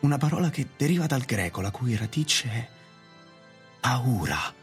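An actor plays a nervous gentleman doctor in a radio play. His mid-pitched male voice halts, repeats itself and stammers, with soft music behind. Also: lively intonation, normal speed, lightly acted. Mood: anxious, shaken. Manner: hesitant, stammering, coughing. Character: middle-aged doctor, anxious, homebound gentleman of future.

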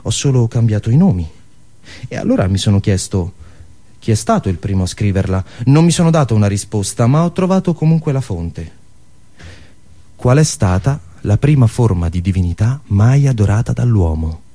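A man delivering a theatrical storytelling monologue, posing rhetorical questions and using deliberate dramatic pauses. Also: lowish voice, big pitch swings, warm tone. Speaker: monologue performer.

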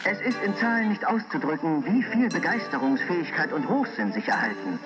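A man's voice: on the high side, very animated, fairly quick, poor audio. A man reading a scripted historical report with formal, declamatory diction. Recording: music playing clearly underneath.

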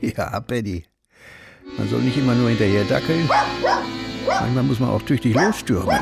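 An actor playing a gruff, sardonic older police detective in a radio crime drama, wry, colloquial and commanding. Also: male voice, medium pitch, expressive, moderate pace, over loud music. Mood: wry, musing.

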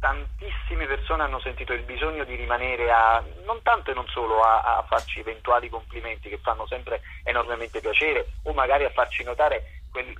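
A man's voice: mid-range voice, bright, forceful tone, husky, low-quality recording. A man giving a radio interview as a theatre director, talking expansively and searching for words in long winding sentences. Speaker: guest, theatre author, director and actor. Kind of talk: radio interview, spoken off the cuff.

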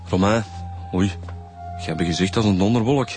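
A man's voice: low-pitched, brisk, darkish tone, soft background music, natural voice. A man playing a chatty, easygoing police detective in a radio drama, informal, with a Flemish accent. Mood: matter-of-fact, informal. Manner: informal, colloquial. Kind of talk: naturalistic radio drama acting.

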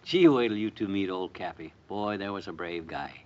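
An actor playing an excitable, boastful American husband and war veteran in a folksy, blustering 1950s radio comedy style. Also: male voice, low-pitched, normal speed, natural voice. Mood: eager, nostalgic. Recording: muffled vintage radio recording.

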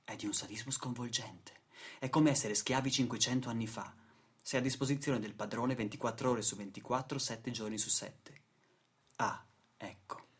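A man acting as a sardonic, indignant storyteller in a radio theatre monologue, with a husky voice and rhetorical delivery. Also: medium pitch, moderate pace, lightly acted. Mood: sardonic indignation. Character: sardonic, indignant male storyteller attacking exploitation. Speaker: stage and radio actor.

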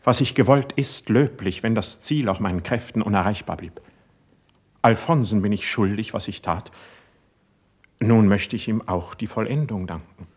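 Impassioned young court poet, wounded and distrustful. Husky, lowish, declamatory radio-play verse. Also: male voice, moderate pace. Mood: urgent, impatient. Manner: declamatory, intense stage delivery. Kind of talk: classical German verse radio drama acting.

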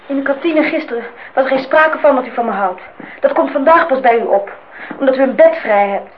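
A woman's voice: high-pitched, fairly quick, lightly acted. An actress playing a guarded, stubborn young woman in a radio play, terse and reserved. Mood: reproachful. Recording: muffled, noisy old radio-play recording.

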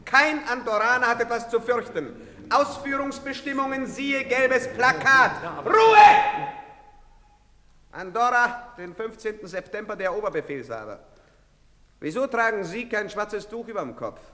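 An actor playing a brusque soldier who barks orders at townsfolk in a radio play. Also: male voice, extremely high pitch, big pitch swings, a little slow, sharp tone, a little hiss or hum, exaggerated voice. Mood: commanding. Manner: barking, commanding. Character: brusque young male soldier barking orders. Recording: long reverb.

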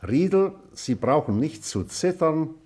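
An actor playing a benevolent old Latin schoolmaster in a German radio play, measured, commanding and dryly humorous. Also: male voice, on the high side, sing-song, a little mellow, slightly rough, full character voice.

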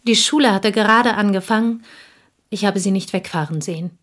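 A woman's medium-pitched voice performed by an actress in a radio play, as a reserved provincial schoolteacher. She is polite and guarded, choosing her words in a measured, factual manner. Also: fairly bright tone, lightly acted. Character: reserved village schoolteacher, loyal confidante of the dead wife. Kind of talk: German radio drama acting.

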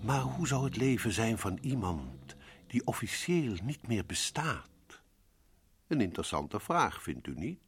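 An actor playing a suave, sinister host of a radio mystery series, speaking in a deliberate, theatrical way to his listeners. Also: lowish voice, sing-song, slightly rough, heavily acted. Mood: suave, ominous. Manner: slow, deliberate, addresses listeners as friends. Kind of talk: scripted radio play narration, theatrical.

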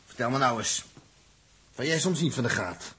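A man's voice: medium pitch, animated, moderate pace, lightly acted. An actor playing a tired, grumpy, impatient young man who grumbles curtly in a 1960s radio play. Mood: irritated, impatient. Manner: grumbling, curt, colloquial. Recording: 1960s radio recording with background hiss.

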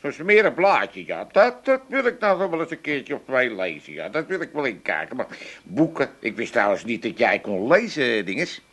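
A man's voice: medium pitch, very animated, normal speed, fairly bright tone. An actor playing a cantankerous, mocking old father with an Amsterdam accent in a radio comedy. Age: older. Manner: blunt, mocking, teasing.

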